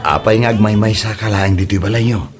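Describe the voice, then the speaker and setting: A man's voice: on the low side, fast, faint music behind, lightly acted. A man playing a hapless young suitor in an Ilocano comedy drama, stammering, nervous and whiny, with an Ilocano accent. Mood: nervous, flustered. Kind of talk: comedy audio drama acting.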